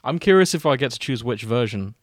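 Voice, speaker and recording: A man's voice: medium pitch, animated, fast talker, no echo. Man reading aloud, dry close sound. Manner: breaks into chuckles while reading. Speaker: host and reader of a fan fiction podcast. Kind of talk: casual banter and comic read-aloud narration.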